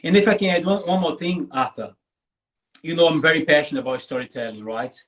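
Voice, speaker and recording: A man's voice: fairly high pitch, fast talker, a bit bright. A man whose first language is Portuguese, a guest in a live online interview, talking earnestly and often checking in with "right?". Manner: earnest, repeats words, tags lines with "right?". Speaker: guest content creator.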